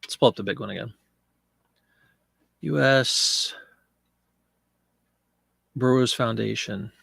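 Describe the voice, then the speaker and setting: Gravelly, stuffed-up man chatting live. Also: medium pitch, slow, a bit bright, no echo. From Pennsylvania. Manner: chatty, rambling, answers viewer chat. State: congested from a cold. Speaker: live-stream eBay seller. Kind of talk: casual live-stream chat.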